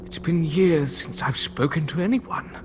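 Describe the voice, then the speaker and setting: A man playing a lonely, cunning old hermit in a fan audio drama, halting and pleading, at times breathy. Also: on the high side, natural voice. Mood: sorrowful, weary. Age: older. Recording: noisy audio drama scene with ambience.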